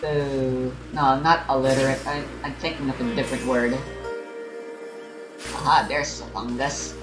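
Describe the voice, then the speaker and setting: A man's voice: medium pitch, little pitch movement, measured pace. A young man giving rambling, casual game commentary, musing aloud and often correcting himself as he goes. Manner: musing, hesitant, self-correcting. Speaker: gamer recording a playthrough. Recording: roomy sound over game music. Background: game music.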